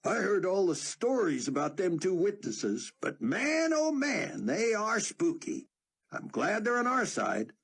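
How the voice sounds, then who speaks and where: A man's voice: high-pitched, wildly swooping pitch, measured pace, creaky, fairly bright tone, slightly rough, voice pushed up, full character voice. A man voicing a plain-spoken, folksy man who is awed.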